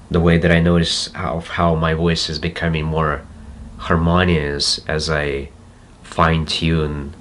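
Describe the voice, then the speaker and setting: A man with a Russian accent, a native Russian speaker, talking slowly and reflectively to camera and pausing to search for words. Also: rumbling low, a bit bright. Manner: slow, deliberate, searching for words with pauses. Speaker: self-development video host. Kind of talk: slow reflective monologue to camera.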